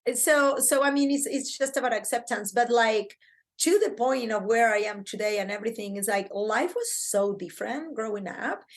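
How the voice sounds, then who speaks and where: Chatty Argentine-accented woman, high voice. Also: moderate pace. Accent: Argentine Spanish. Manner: excitable, talkative, many fillers and restarts. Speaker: podcast guest, branding coach. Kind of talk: casual interview chat.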